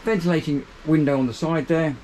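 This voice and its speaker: A man's voice: fairly high pitch, steady tone, fairly quick, darkish tone, a little hiss or hum, poor audio. A man, giving an upbeat walkthrough tour of a small motor boat cabin, filmed on a handheld camera. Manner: chatty, upbeat, pointing out features as he moves. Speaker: boat reviewer.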